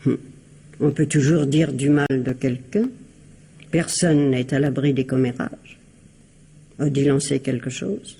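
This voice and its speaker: A woman playing an elderly, falsely sweet, gossipy and scheming mother-in-law in a radio mystery, in a husky, insinuating, theatrical manner. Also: rumbling low, fairly even intonation, a little slow, pauses often, mellow, exaggerated voice. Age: older. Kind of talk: radio mystery drama acting.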